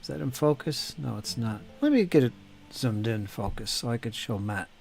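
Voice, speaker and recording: A man's voice: mid-range voice, animated, moderate pace, vocal fry, a little mellow, soft background music. A man hosting a casual live stream while painting miniatures, chatting with viewers by name and muttering to himself as he works. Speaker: hobby streamer.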